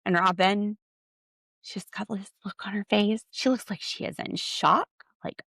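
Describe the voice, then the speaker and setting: A woman's voice: mid-range voice, measured pace, a bit bright, no room sound. A woman hosting a podcast, giving a solo recap of a TV show in a blunt, opinionated way with sarcastic asides. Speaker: podcast host.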